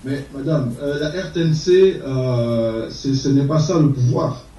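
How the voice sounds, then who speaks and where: A man's voice: mid-range voice, unhurried, mellow, slight background noise. A man giving interview answers in a hesitant way, with frequent filler sounds. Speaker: political activist. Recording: echoey, distant, dull sound.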